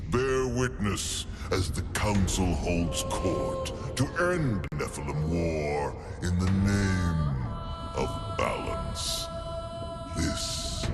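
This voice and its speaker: A very low, gravelly voice of an ancient demonic judge for a game cinematic. Each pronouncement is slow, solemn and booming, with grave ceremonial weight. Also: male voice, exaggerated voice. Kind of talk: video game cinematic voice acting.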